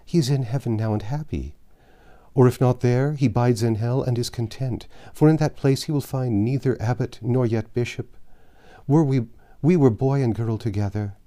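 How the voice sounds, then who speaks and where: A man's voice: mid-range voice, normal speed, mellow, natural voice. Man voicing a dying, impassioned peasant woman. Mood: grieving, fervent. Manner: impassioned archaic speech. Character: dying peasant woman, gaunt, grieving, bitter and grateful.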